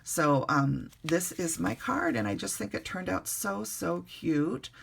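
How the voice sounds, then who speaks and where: A woman recovering from the flu, her voice hoarse, chatting casually through a card-making tutorial at a desk in her bedroom with lots of ums and uhs. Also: fairly low pitch, expressive, measured pace. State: hoarse, getting over the flu.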